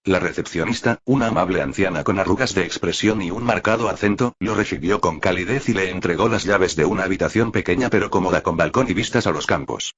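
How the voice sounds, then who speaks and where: A man's voice: sits low, fairly bright tone, breathy edge, highs cut off. A man reading scripted fiction aloud as an audiobook-style narrator.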